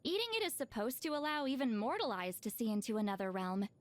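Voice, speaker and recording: A youthful, high-voiced shaman woman from a video game. Her delivery is very animated, swinging up and down in pitch. She sounds proud yet socially awkward, with a hesitant, slightly huffy way of explaining herself. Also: fast talker. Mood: musing. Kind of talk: animated game character voice lines.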